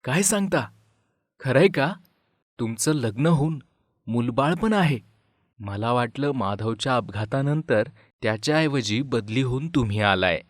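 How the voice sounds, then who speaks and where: A man playing an earnest, stubborn young suitor in an animated story. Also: medium pitch, unhurried, dry recording, studio quality, close to an everyday voice. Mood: surprised.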